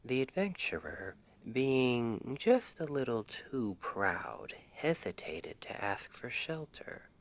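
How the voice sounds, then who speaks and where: A man playing a tender, playful boyfriend in an ASMR roleplay, speaking in a gentle, intimate half-whisper to soothe his partner to sleep. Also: medium pitch, very animated, slow pace, few pauses. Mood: soft, playful storytelling. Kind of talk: ASMR roleplay, soft bedtime storytelling.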